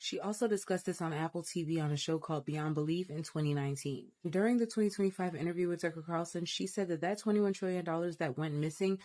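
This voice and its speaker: A woman's voice: on the low side, quick pace, hardly any pauses, warm tone. A woman gives an explanatory monologue as a social media creator addressing her viewers.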